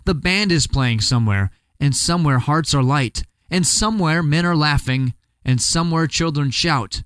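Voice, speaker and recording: A man's voice: normal speed, smooth, no echo. Man reciting a poem theatrically. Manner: theatrical, swings pitch and pace for emphasis, bursts into shouts. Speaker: poetry reader. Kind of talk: dramatic poetry recitation.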